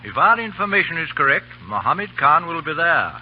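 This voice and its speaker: An actor playing a commanding, formal army colonel with a clipped manner in an old radio drama. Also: male voice, on the high side, sing-song, brisk. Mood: brisk, businesslike. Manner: clipped, authoritative. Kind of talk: 1930s-40s radio drama acting. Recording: dull old radio recording, hiss and hum.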